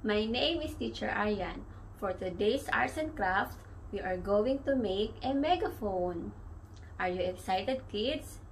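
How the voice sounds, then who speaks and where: A young woman teaching children in church, giving gentle, careful craft instructions. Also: medium pitch, expressive, a little slow, a little hiss or hum, rough recording. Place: small room at home, indoors.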